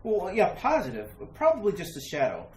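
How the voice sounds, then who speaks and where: A woman's voice: on the low side, huge pitch swings, brisk, darkish tone. A woman playing an anxious, hesitant husband searching for his missing wife in a retro radio mystery. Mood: uneasy reassurance. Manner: hesitant, stammering, urgent. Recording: roomy sound with faint noise.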